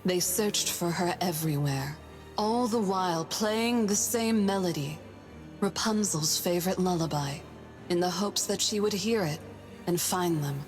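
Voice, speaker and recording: A woman's voice: fairly low pitch, a little slow, natural voice. A woman playing a solemn storyteller, narrating a tragic fairy tale for a video game cutscene. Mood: solemn storytelling. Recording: music bed under narration.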